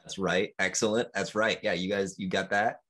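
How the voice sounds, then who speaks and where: A man running a tabletop role-playing session as game master, encouraging the players and pausing often with ums. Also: deep voice, fairly even intonation, fairly bright tone. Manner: encouraging, hesitant with frequent ums.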